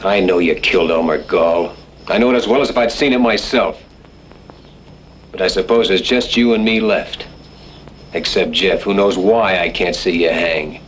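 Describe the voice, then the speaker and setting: An actor playing a stern, fed-up rancher turned outlaw, blunt and serious, in an old radio western. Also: male voice, low-pitched, moderate pace, natural voice. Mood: grim resignation. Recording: noisy old radio drama recording.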